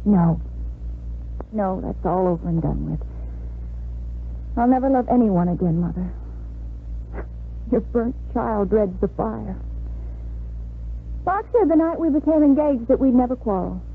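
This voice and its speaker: Casting: a young bride-to-be in vintage radio drama, resigned and wry, heard through a thin, noisy old recording. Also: female voice, fairly high pitch, animated, measured pace, vocal fry, long pauses, narrow, tinny audio. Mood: disillusioned. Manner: wry, world-weary. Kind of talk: 1950s radio drama acting.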